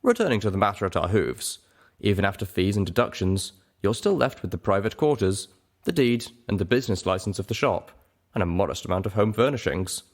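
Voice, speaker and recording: A man voicing a gentle male pony legal clerk, formal and matter-of-fact, in a story reading. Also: on the low side, animated, a little fast. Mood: businesslike.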